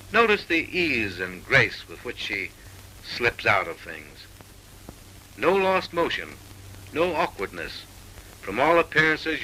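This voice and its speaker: Bright-voiced 1930s film narrator, a man. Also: mid-range voice. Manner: arch, tongue-in-cheek, theatrical delivery. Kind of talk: 1930s comic film narration, mock-serious. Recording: noisy 1930s film soundtrack.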